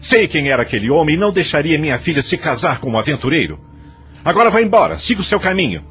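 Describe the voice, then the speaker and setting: A man playing a harsh, angry older Brazilian plantation master in an audio novel, scornful and forceful. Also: on the high side, big pitch swings, fast, husky, faint music behind, full character voice.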